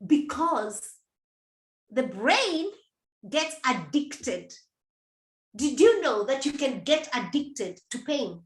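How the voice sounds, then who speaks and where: A woman's voice: high voice, sing-song, takes it slowly, pauses often. A middle-aged woman coach hosting a motivational talk, speaking directly to her listeners, encouraging them and repeating herself for emphasis. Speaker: menopause coach and host. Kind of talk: live motivational talk to viewers. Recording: echoey room.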